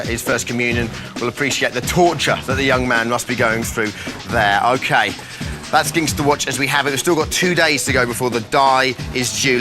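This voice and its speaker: Deadpan male TV presenter over music. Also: mid-range voice. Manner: deadpan, jokey. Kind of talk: comic mock-serious presenting.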